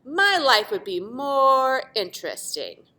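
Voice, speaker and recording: A woman's voice: high voice, very animated, takes it slowly, sharp tone, voice pushed up, full character voice. A woman voicing a restless, discontented talking shape from a children's storybook, plaintive and earnest. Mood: wistful.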